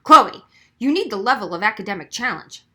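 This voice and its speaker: A woman's voice: medium pitch, expressive, a little fast, bright, forceful tone. A woman reading the part of an earnest, resigned gifted schoolboy who reasons emphatically in an audiobook. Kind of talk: acted audiobook dialogue.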